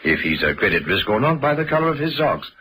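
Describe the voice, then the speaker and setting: An actor playing a suave, worldly British banker, genial but guarded, with a dry manner, in vintage radio drama. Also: male voice, mid-range voice, big pitch swings, quick pace, sharp tone. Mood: wryly genial. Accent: British English. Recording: hissy vintage radio recording.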